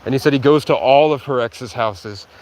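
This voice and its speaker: A sleep-deprived man livestreaming outdoors in a rural area, rambling in a halting way with many restarts. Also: mid-range voice, a little mellow, a little hiss or hum, poor audio. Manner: halting, restarts often, heavy use of "like". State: sleep-deprived after hours of talking. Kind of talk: rambling casual monologue.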